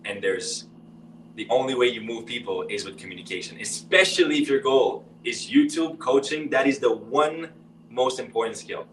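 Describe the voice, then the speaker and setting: A man's voice: medium pitch, moderate pace, reverberant, distant mic, a little hiss or hum, low-quality recording. A man hosting a live speaking workshop who calls everyone brother, speaking English as a non-native. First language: not English. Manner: calls participants brother and bro, upbeat coaching. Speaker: workshop host and speaking coach. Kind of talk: live online workshop, casual and encouraging.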